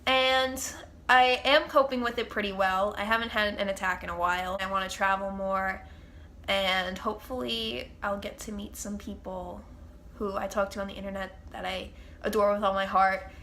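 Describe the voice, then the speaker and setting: A woman's voice: mid-range voice, steady tone, moderate pace, pushed and bright, slight background noise. A young woman with a congested, stuffy nose, chatting as host of her own Q&A vlog with gushing fan enthusiasm. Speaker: channel host. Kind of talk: casual Q&A vlog chat.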